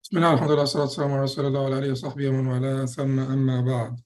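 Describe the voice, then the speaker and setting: A middle-aged man from Egypt with an Egyptian accent speaks over a video call at a mid-range pitch. He gives a personal talk with frequent fillers and restarts, measured and unhurried. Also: flat delivery, darkish tone. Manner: hesitant, many fillers and restarts. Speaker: physician guest speaker. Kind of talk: personal talk in an online session.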